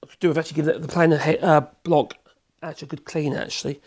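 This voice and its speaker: A man with a cold, hoarse, chatting in a rambling way as he demonstrates in his workshop. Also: on the high side, flat delivery, rapid-fire, darkish tone. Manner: rambling, chatty, self-correcting, chuckles. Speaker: woodworking channel host.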